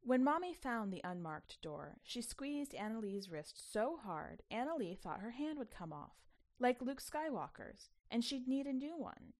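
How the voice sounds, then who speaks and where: Woman reading a short story for a podcast, expressive, pitch moves around a lot. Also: measured pace. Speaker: podcast editor and story reader. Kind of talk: scripted fiction narration.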